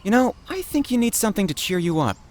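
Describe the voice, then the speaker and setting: A man's voice: sits high, sing-song, fast talker, darkish tone, pitched above the performer's own voice. A man voicing a naive, earnest male android companion in a visual novel, literal and eager in manner. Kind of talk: visual novel dialogue read aloud.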